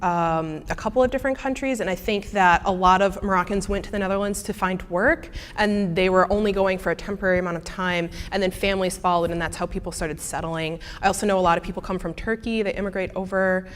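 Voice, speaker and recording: A woman's voice: medium pitch, fairly even intonation, quick pace, hardly any pauses. Young Midwestern woman in a hall. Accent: Midwestern American. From American Midwest. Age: young adult. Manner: casual, many fillers and restarts. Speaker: student presenter. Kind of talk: answering audience questions after a talk. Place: lecture hall. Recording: reverberant lecture hall.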